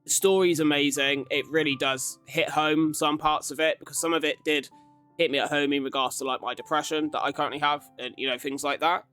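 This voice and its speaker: A man's voice: fairly high pitch, steady tone, a little fast, sharp tone, clear, resonant tone. A man hosting a casual ranking commentary, talking in a rambling, informal, conversational way. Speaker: YouTube reaction host. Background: background music.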